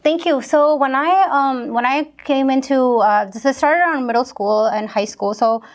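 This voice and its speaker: A woman's voice: high voice, normal speed. A young woman from Pakistan, a guest author, talking casually in an interview with many fillers and restarts.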